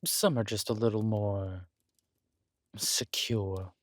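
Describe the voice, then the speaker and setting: A man playing a scheming, aristocratic villain husband in an intimate roleplay audio drama, suave and deliberate, slipping into breathy near-whispers. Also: on the low side, steady tone, no room sound, clean studio sound. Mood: smug, musing. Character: smooth, scheming villain husband, usurper of a kingdom.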